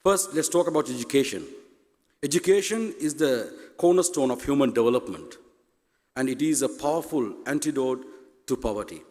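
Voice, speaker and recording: A middle-aged man delivers a formal, prepared address from a conference stage. His accent is Sri Lankan and his first language is Tamil. The voice sits at a medium pitch and rings out with long reverb in a big hall. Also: lively intonation, moderate pace, a little mellow. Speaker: university president, conference speaker.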